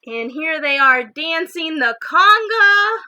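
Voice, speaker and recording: A woman reading a picture book aloud, dramatically stressing some phrases and hushing others. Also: high-pitched, expressive, slow pace, no echo, muffled recording. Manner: dramatic, emphatic phrases alternating with hushed ones. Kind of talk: expressive children's read-aloud.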